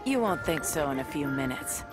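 An actress playing a proud, fearless warrior heroine in a video game, firm and confident. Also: female voice, low-pitched, very animated, normal speed. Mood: defiant. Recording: game music behind.

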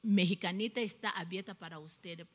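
A woman in her forties who grew up in Cuba, speaking, is a salon owner chatting as a guest on a studio talk show. She holds a handheld mic and often bursts into animated emphasis. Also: lowish voice, normal speed. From Congo, raised in Cuba. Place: TV studio set.

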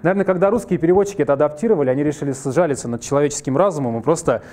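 Fast-talking man with a slight cold. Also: a little high. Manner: lively, conversational, jokes with the audience. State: slightly ill, clears throat. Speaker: workshop presenter. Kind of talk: live masterclass lecture. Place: large wood-panelled hall with a screen. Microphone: headset mic.

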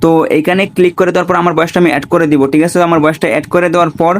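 A man's voice: a little high, level tone, rapid-fire. A man giving an informal step-by-step tutorial, often checking in with repeated tags. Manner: repetitive, with frequent check-in tags. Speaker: gaming tutorial creator.

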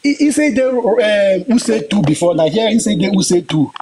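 A man's voice: high-pitched, lively intonation, moderate pace, a crowd in the background. A man from Cameroon with a Cameroonian accent, chatting earnestly in pidgin as a caller on a live stream. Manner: earnest, eager, pleading. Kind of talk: pidgin casual chat.

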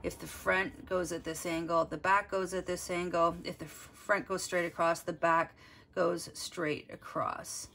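A woman's voice: fairly low pitch, flat delivery, a little slow, a bit bright. A woman art teacher giving a step-by-step drawing lesson, explaining as she works and thinking aloud. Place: desk, overhead camera on drawing.

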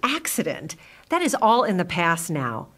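A woman's voice: on the low side, very animated, measured pace, fairly bright tone, soft background music, exaggerated voice. A woman voicing a guarded, jaded doctor in a comic-book drama, with a wary, dry delivery. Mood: defensive. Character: guarded, jaded adult female doctor with secrets.

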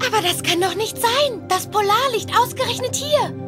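A very high, childlike cartoon voice. The child is excitable and easily amazed, and music plays behind the words. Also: normal speed. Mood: wonder. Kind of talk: German dubbed cartoon audio drama.

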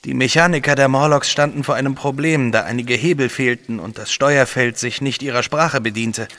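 An actor playing an earnest, anxious Victorian inventor in an audio drama, intense and precise. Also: male voice, mid-range voice, a little fast, a bit bright, dry recording, lightly acted. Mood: earnest, grave. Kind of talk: German audio drama acting.

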